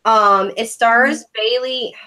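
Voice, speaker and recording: A woman's voice: on the high side, fairly even intonation, slow pace, pushed and bright. A woman from Korea hosting a casual video-call movie review, chatty and sarcastic. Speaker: host.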